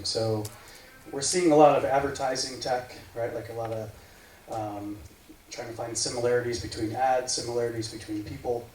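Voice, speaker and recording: Man rambling with "right, like" in an echoey room. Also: mid-range voice. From United States. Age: 40s. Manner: rambling, filler-heavy, says "right, like" often. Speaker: meetup speaker. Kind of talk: casual tech talk. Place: meeting room. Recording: echoey room from far off, faint noise.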